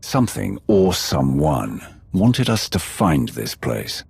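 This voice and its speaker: A wary, commanding Sith warrior in a video game. The voice is rock-bottom deep and very raspy, with wide swings in pitch. He talks tersely, in a close, dry recording. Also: sing-song, takes it slowly, a little mellow, no room sound, full character voice. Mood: wary, focused. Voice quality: gravelly, husky. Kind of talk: video game cutscene dialogue.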